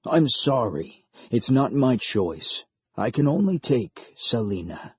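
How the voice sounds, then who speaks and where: A man voicing a mysterious old stranger who speaks in a grave, measured, gentle way. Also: mid-range voice, big pitch swings, breathy edge, no echo, heavily acted. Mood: sorrowful. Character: mysterious ancient male stranger, calm, sorrowful. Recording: dull lo-fi audio.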